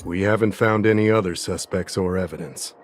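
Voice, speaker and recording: A man voicing a self-assured official in a video game, speaking formally and deliberately as he lays out accusations. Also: deep voice, lightly acted. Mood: confident, accusing. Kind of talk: scripted video game dialogue acting. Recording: music behind the voice.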